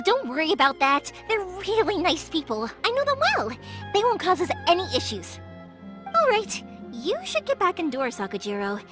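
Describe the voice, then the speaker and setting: A bubbly high-pitched fireworks girl. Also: full character voice. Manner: bubbly, quick to change topics. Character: cheerful young fireworks-maker girl, energetic. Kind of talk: video game voice acting. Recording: game soundtrack music behind.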